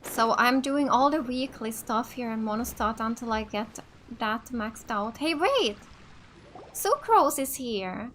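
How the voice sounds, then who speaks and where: A woman's voice: on the high side, lively intonation, takes it slowly, a bit bright, a little hiss or hum. A woman from Finland giving chatty, enthusiastic casual let's play commentary as a gaming host. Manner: chatty, enthusiastic about the game.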